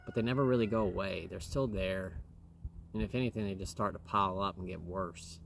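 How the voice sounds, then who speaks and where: A man in his thirties with a lowish voice, talking to the camera in a wooded yard with faint outdoor noise behind him. He sounds a little hoarse and clears his throat now and then. He rambles earnestly, with lots of fillers. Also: fairly quick. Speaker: vlogger. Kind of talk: casual talk to camera. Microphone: camera in front at close range.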